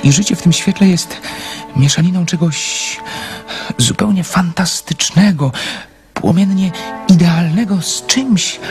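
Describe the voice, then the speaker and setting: A man acting in a radio drama as a lonely, shy, romantic dreamer, speaking hesitantly and poetically. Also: a little high. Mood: earnest, wistful.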